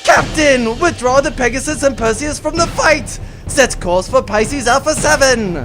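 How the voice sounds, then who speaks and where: A man's voice: very high-pitched, a little slow. A man playing a pompous, ranting, vain space baron villain in a comic sci-fi audio drama. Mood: dismissive. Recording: music behind the voice.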